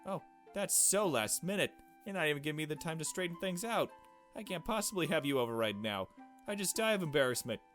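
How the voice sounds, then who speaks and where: A man voicing a mild, flustered gentleman from a visual novel, with fussy, effusive politeness. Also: on the high side, lively intonation, brisk, soft background music, voice pushed up. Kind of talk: visual novel dialogue read aloud.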